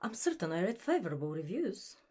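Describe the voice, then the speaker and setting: A woman's voice: fairly low pitch, sing-song, fairly quick, warm tone, slightly rough. A woman playing an elegant, gracious star actress in an audio drama, polite, poised and faintly condescending. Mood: indulgent. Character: elegant, gracious celebrated actress, coolly indulgent.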